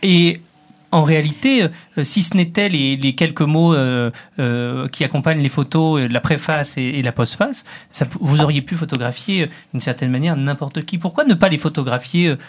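A man's voice: on the high side, moderate pace. A man hosting an interview, asking courteous but hesitant questions with many fillers. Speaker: interviewer host.

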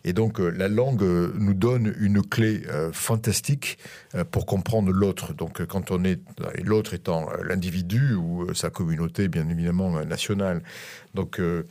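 A man's voice: low voice, steady tone, normal speed, a little mellow. A middle-aged man from France, speaking as an interview guest, hesitant with many fillers and restarts. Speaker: guest, school director. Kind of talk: interview on radio.

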